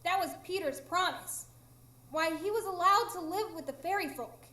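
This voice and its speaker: A woman's voice: very high-pitched, moderate pace, sharp tone. A woman playing a tiny, mischievous, blunt fairy with a childlike, teasing manner in a live radio drama. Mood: blunt, matter-of-fact. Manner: childlike, teasing, matter-of-fact. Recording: echoey room, live stage recording.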